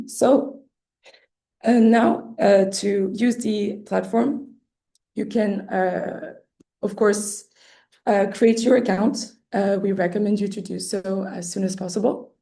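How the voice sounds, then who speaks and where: Hoarse woman presenting a webinar. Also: medium pitch, slow, warm tone. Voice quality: slightly hoarse. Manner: hesitant, many fillers and restarts. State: losing her voice, clearing her throat often. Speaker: webinar presenter for an arts award. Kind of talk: explaining an application process. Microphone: computer mic on a video call.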